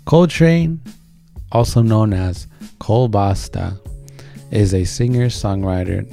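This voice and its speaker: A man's voice: on the low side, slow pace, vocal fry, warm tone. A man co-hosting a music show, chatting informally and enthusiastically in slangy language as he introduces songs. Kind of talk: casual chat introducing songs.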